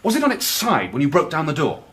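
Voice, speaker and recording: Eccentric sleuth for radio drama: self-assured and brisk, with big swings of intonation. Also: male voice, on the high side, huge pitch swings, a bit bright, slightly rough, full character voice. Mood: musing, probing. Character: brilliant cryptic amateur detective, eccentric, brisk and self-assured.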